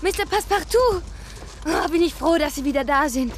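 A woman's voice: high voice, animated, a little fast, slight background noise. A woman playing a polite, emotional young lady traveler in an audio drama. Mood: relieved, admiring.

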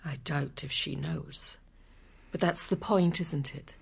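A woman's voice: low-pitched, steady tone, slow, vocal fry, breathy edge, exaggerated voice. An actress in a radio drama playing a frank, wounded woman who sings opera and is in love. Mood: bitter, resigned.

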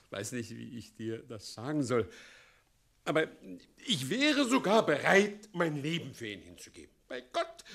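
A half-mad, evasive town mayor in a radio drama. His delivery is slow and highly animated, lurching from confidential hush to gushing, theatrical outbursts of loyalty. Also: creaky, exaggerated voice. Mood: frantic devotion. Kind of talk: German radio play acting.

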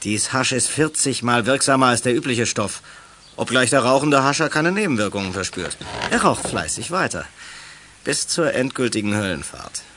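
A sneering, menacing young crook, animated delivery. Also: male voice, mid-range voice, moderate pace, slight background noise. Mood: sly, wheedling. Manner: threatening, sarcastic street-slang delivery. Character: menacing, sneering young male drug dealer and kidnapper. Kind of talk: 1980s youth radio play acting.

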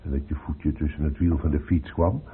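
A male actor playing a tender, protective middle-aged father in a radio drama, coaxing haltingly and repeating his phrases. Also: rumbling low, fairly even intonation. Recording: muffled, noisy old radio play recording.

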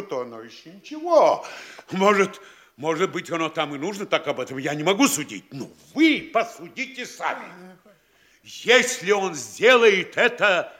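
A pompous, lecturing town mayor. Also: male voice, fairly high pitch, fairly bright tone, exaggerated voice. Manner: lecturing, scolding, theatrical. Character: pompous self-important male town mayor, middle-aged. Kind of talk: classic stage radio play acting.

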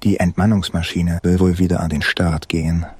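A man's voice: sits low, little pitch movement, moderate pace, a little mellow, natural voice. A man playing a brash, mocking space traveller in an audio drama, with a flippant, slangy manner. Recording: roomy echo.

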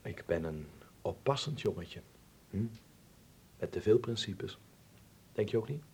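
An actor playing an earnest, eager young Dutchman in a radio drama, expressive and a little hesitant. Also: lowish voice, a little slow, a little hiss or hum. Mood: brooding.

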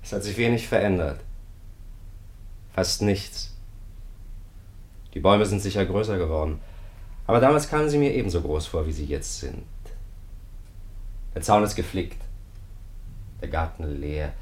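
Male chaplain, 1950s German radio play: low pitch, terse, grave, quietly stubborn. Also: animated, normal speed, pauses often. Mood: wistful, subdued.

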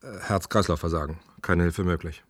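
Male hospital doctor, very low pitch, husky, dry studio sound, radio play. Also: fairly even intonation, normal speed, no room sound. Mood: shocked, grave. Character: man, hospital doctor, intimate with his partner, shaken. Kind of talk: German radio play acting.

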